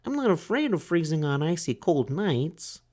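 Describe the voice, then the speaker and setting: High-pitched timid little boy turtle. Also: big pitch swings, normal speed, a little mellow. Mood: earnest. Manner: polite, pleading.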